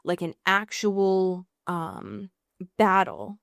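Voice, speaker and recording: A woman's voice: lowish voice, very animated, drawn-out words, fairly bright tone, dry recording, clean studio sound. A woman co-hosting a podcast in a casual recap chat, informal and wry, with some swearing. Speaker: podcast co-host.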